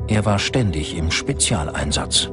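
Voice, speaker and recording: A man's voice: low voice, animated, a little slow, music in the background. A man reading scripted documentary narration as the narrator.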